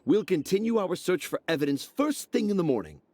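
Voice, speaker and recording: A man's voice: high-pitched, sing-song, fairly quick, a little mellow, a little hiss or hum. A man playing a grandiose, imaginative, self-assured young storyteller with a flowery, pompous delivery in a video game. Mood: confident. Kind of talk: video game cutscene acting.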